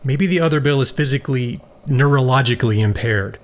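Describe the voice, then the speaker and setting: A man plays a proud, troubled scientist in a science fiction audio drama. His voice sits at a medium pitch, with measured and earnest phrasing. There is faint noise in the recording. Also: natural voice. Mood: earnest, probing. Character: grave, troubled scientist, a proud older brother.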